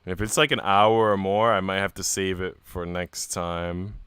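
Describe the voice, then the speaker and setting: A man's voice: low voice, steady tone, normal speed, a bit bright, clean tone, no echo, clean studio sound. A man live streaming, reading a visual novel aloud and dropping into casual, slangy asides to his chat. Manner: informal, slangy, chatty.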